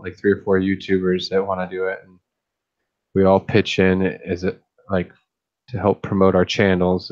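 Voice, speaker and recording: Deep-voiced man, low and flat. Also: highs cut off. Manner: rambling, easygoing, thinks aloud with many restarts. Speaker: fish-keeping streamer and YouTuber. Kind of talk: casual late-night chat.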